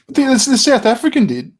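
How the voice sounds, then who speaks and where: A man's voice: extremely high pitch, wildly swooping pitch, moderate pace. An Australian man chatting casually as a host. He swears and laughs freely between his remarks. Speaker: podcast host. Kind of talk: casual chat.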